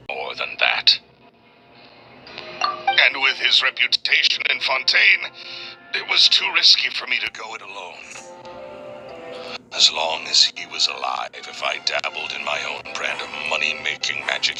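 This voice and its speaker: An actor playing a bitter, jealous villainous stage magician in a video game, theatrical and scornful, with a gravelly, breathy sneer. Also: male voice, a bass voice, exaggerated voice. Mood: cold, defiant.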